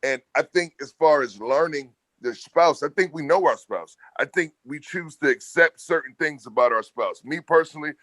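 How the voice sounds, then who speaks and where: A middle-aged man with a bass-heavy voice, arguing loudly and assertively in a casual panel debate. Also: normal speed, hardly any pauses, no echo. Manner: loud, assertive, argumentative. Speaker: podcast panelist.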